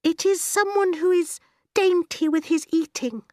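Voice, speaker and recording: A woman voicing a bright, earnest five-year-old schoolgirl in a storybook, shy, polite and careful with her words. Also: very high-pitched, measured pace, no room sound, clean studio sound, voice pushed up. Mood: polite, assured.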